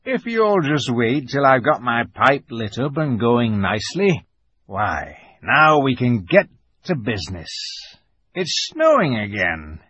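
Man voicing a slow, folksy old talking beaver. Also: medium pitch, sing-song, a bit bright, breathy edge, dull audio, full character voice. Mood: businesslike. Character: grave, kindly old male talking beaver, rustic and knowing. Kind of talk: dramatized storybook reading.

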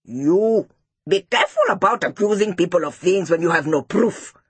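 A woman's voice: lowish voice, lively intonation, moderate pace, breathy edge, no room sound. A woman voicing a stern, sensible schoolteacher in a children's story, reproving and authoritative. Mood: firm.

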